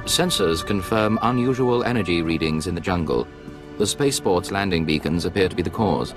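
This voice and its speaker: A man's voice: low-pitched, brisk, over music, natural voice. An actor playing a businesslike male commander in a video game, giving an urgent, formal military briefing. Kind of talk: video game cutscene acting.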